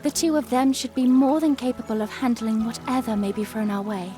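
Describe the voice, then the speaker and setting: A woman playing a poised, gently teasing young princess in an audio drama. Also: fairly high pitch, fairly even intonation, brisk, soft background music, close to an everyday voice. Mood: gracious.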